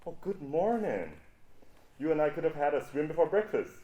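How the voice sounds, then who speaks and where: A man's voice: on the high side, sing-song, normal speed, mellow, dull audio. A man in a student stage play acting a breezy, carefree young man. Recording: echoey stage, voice off mic.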